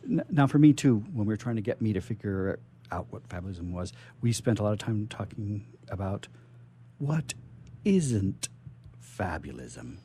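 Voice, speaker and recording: A man's voice: on the low side, big pitch swings, normal speed, mellow, slight background noise. A man hosting a radio interview, informal and conversational, prompting his guest and often repeating his phrases. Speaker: radio show host, interviewer.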